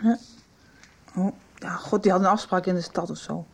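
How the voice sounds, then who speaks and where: A woman's voice: fairly low pitch, steady tone, normal speed, vocal fry, breathy edge. A woman playing a moody, jealous young woman in a radio soap, sulky and snappish in everyday speech. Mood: distracted, sulky.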